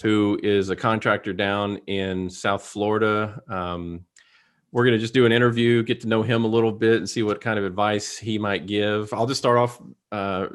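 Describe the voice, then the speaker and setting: A man's voice: lowish voice, fairly even intonation, normal speed, clear, resonant tone. Friendly male podcast host. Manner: friendly, loose questioning with restarts. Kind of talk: casual interview.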